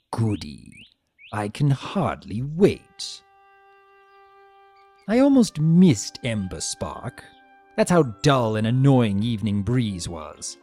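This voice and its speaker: A man voicing a vain, spiteful, sarcastic trickster creature trapped as a statue, telling its story with theatrical, sneering, drawn-out emphasis. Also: mid-range voice, warm tone. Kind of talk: dramatic first-person story reading. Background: music.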